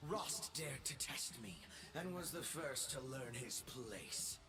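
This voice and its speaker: An actor playing a gloating, unhinged young space emperor in a video game, grandiose and arrogant, swinging between shouts and hushed menace. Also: male voice, mid-range voice, lively intonation, takes it slowly, far from the mic, loud music behind the voice. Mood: menacing, exultant. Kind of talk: theatrical video game voice acting.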